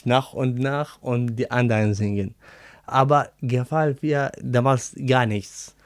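A man with an Arabic accent, a native Arabic speaker, answering interview questions in halting, word-searching German. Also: medium pitch, dry recording, studio quality. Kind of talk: casual interview.

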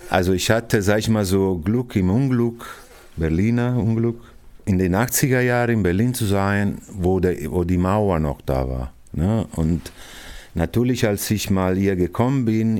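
A middle-aged man from Naples with an Italian accent, a multimedia artist and artistic director, answering interview questions in non-native German with many pauses and fillers. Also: on the low side, darkish tone. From Italy, Naples. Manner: hesitant, searching for words, frequent fillers. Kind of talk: interview answer addressed to young listeners.

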